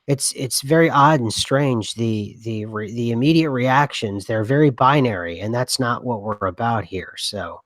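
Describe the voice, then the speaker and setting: A man's voice: mid-range voice, moderate pace, few pauses, fairly bright tone, no room sound. A man co-hosting a casual podcast, rambling with many restarts, who has a cough. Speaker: podcast co-host. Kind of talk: casual conspiracy podcast chat.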